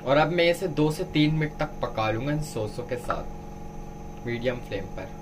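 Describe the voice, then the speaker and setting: A man's voice: mid-range voice, lively intonation, normal speed, background noise. A man giving a step-by-step cooking tutorial as a home cook, instructing viewers directly. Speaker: home cook presenting a recipe.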